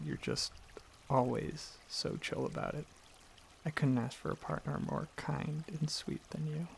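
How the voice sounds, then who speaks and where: A man playing a gentle, affectionate dragon boyfriend in an intimate ASMR roleplay, speaking softly and earnestly with some hesitant rambling. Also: mid-range voice, a little hiss or hum, exaggerated voice. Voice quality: soft, husky, slightly gravelly, breathy.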